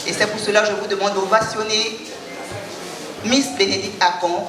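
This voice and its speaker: A woman's voice: mid-range voice, steady tone, moderate pace. A woman giving a ceremonious, exhorting speech at a pageant on a handheld mic. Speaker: guest speaker at a pageant. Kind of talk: formal public address. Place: large hall at a live event. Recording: echoey hall from far off, loud crowd.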